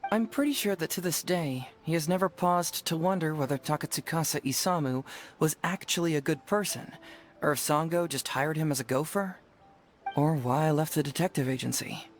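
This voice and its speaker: A man playing a smooth, coy young detective. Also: fairly high pitch, normal speed, faint music behind, close to an everyday voice. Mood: earnest, troubled. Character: clever young male detective, smooth, playful, quietly self-doubting. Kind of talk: video game voice acting.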